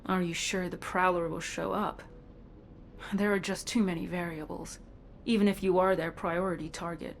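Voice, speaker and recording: An actress playing a determined, cool-headed infiltration agent in a video game, controlled and businesslike in delivery. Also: female voice, lowish voice, fairly even intonation, moderate pace, vocal fry, a little hiss or hum. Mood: resolute. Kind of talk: dramatic video game dialogue.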